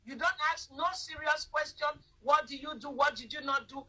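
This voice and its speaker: A woman's voice: high voice, steady tone, normal speed, pushed and bright. Emphatic woman hosting a live stream. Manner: lecturing, emphatic. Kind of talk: casual live-stream chat. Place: indoor room at home. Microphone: phone front camera.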